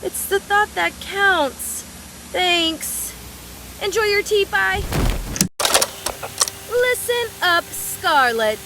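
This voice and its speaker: A mischievous young game fighter girl, high bouncy voice, cheeky mock-serious teasing. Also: animated, extremely slow, pauses often, heavy background noise, exaggerated voice. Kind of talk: video game voice acting.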